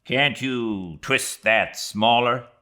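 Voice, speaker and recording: A man voicing a brash, gruff, jocular whaling mate in an audiobook, talking bluntly and boisterously. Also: medium pitch, extremely slow, sharp tone, voice pushed up.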